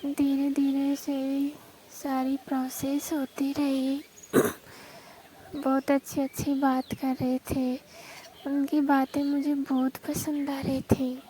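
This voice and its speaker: A young woman telling an intimate personal story in a soft, breathy voice that often drops toward a whisper. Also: high voice, monotone, slow pace, rough recording. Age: young adult. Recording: faint background noise, amateur call-style recording.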